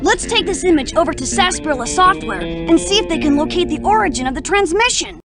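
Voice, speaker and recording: Very high, eager spy kid. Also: female voice, expressive, quick pace, over loud music. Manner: enthusiastic. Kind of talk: educational game cartoon acting.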